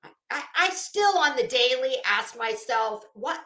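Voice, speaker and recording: A woman hosting a livestream, rambling casually in an animated, theatrical, self-mocking way. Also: sits high, measured pace. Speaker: livestream host. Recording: echoey, roomy sound.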